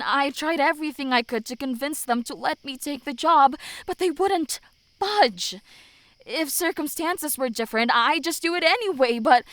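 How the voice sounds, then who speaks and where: A high-pitched young woman played by an actress in a fantasy audio drama. She is an anxious, protective elder sister who speaks earnestly and uneasily over clearly audible music. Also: dry recording. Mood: apologetic, frustrated.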